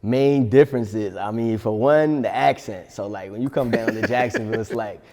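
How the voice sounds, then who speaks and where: A young man, nineteen, with a hint of a New York accent, speaking in a relaxed, slangy way, laughing easily. His voice sits on the low side and rings with a long reverb. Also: normal speed, crowd noise. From Long Island, New York. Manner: laid-back, slangy, laughs often. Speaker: rapper guest. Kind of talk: casual interview.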